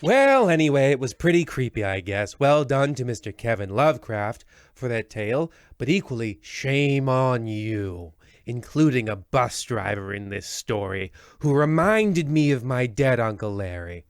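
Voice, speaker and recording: A man's voice: medium pitch, expressive, a little slow, clear, resonant tone, no room sound, clean studio sound. A man playing a half-hearted horror anthology radio host, his formal patter slipping into offhand grumbling. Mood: offhand, bemused. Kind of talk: old-time radio horror host spoof.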